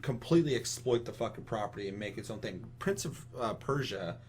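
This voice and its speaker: A man's voice: lowish voice, brisk. A man co-hosting a casual opinionated discussion, speaking vehemently and swearing heavily. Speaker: podcast co-host. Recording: audible steady hum and noise.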